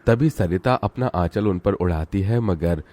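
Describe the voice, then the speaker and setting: A man's voice: sits low, measured pace, mellow. A man reading scripted storybook narration for a children's cartoon.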